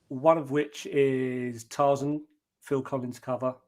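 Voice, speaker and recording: A man with a deep talking voice, a singer being interviewed, who answers in a relaxed, plain-spoken way and often starts with a hesitant um. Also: takes it slowly, a little hiss or hum. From Britain. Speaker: guest singer.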